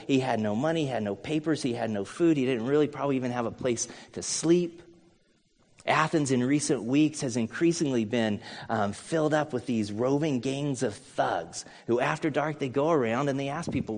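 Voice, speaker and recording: A middle-aged American man preaching a sermon as a pastor, earnest and conversational, mixing scripture with personal stories. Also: mid-range voice. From United States. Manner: earnest, conversational, with storytelling asides. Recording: long hall reverb.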